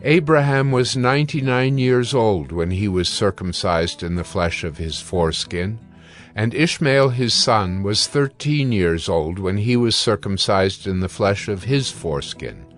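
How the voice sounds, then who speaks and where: A male narrator reads scripture in a formal, measured way. His voice sits a little low, and soft music runs faintly behind him. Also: lively intonation.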